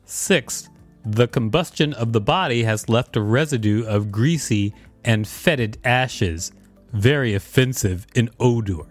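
A man's voice: medium pitch, measured pace, soft background music. A man co-hosting a podcast, mixing casual chat with reading an article aloud. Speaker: podcast co-host.

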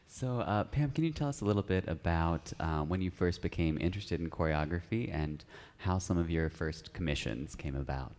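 Man, co-moderator, live panel, extremely deep voice, conversational, asks tag questions. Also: animated, moderate pace, darkish tone. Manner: conversational, asking questions with small tag checks. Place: stage before a live audience.

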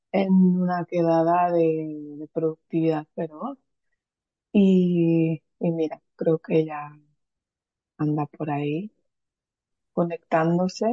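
A woman hosts a chatty interview, talking slowly in a lowish, mellow voice. The sound is dry and dull, a lo-fi video call recording. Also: no room sound. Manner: chatty, enthusiastic. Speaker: podcast host. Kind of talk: casual interview.

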